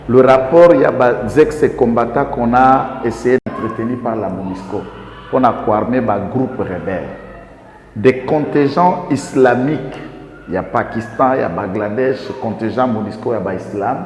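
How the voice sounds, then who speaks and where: A man's voice: fairly low pitch, darkish tone. A man with a Congolese accent giving impassioned political commentary as a guest, emphatic, with rhetorical questions and repeated lists. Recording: echoey reverberant room, faint background noise.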